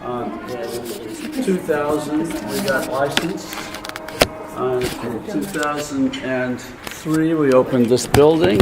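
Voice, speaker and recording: A man's voice: medium pitch, slow, rough recording. A man who owns the winery, chatting at a dinner table about its history, easygoing and self-deprecating. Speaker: winery owner. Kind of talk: casual dinner talk, telling the winery's story. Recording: music playing behind the voice.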